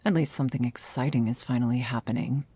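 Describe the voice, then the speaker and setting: A woman's voice: extremely low pitch, steady tone, quick pace, warm tone, husky, pitched below the performer's own voice, full character voice. A woman voicing a blunt, bored vampire who craves thrills, sardonic and dismissive, in an ASMR roleplay.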